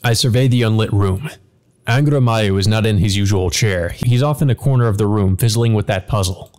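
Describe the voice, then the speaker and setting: A man's voice: lowish voice, a little fast, a little mellow, lightly acted. A man voicing a proud, intense woman warrior in a visual novel, stern and demanding with formal speech. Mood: weary. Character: proud, intense adult woman, a battle-hungry master of a servant.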